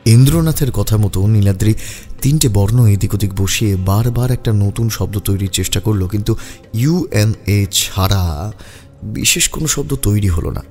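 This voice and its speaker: A man narrates a detective story in a fairly deep, very mellow voice. The delivery is scripted storytelling, with faint music behind it. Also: moderate pace.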